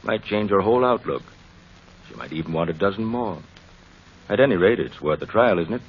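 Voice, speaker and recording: An actor playing an authoritative, reassuring family doctor with a formal, advisory manner in an old radio drama. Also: male voice, low voice, expressive, a little fast, slightly rough, heavily acted. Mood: reassuring, advising. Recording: muffled old radio recording.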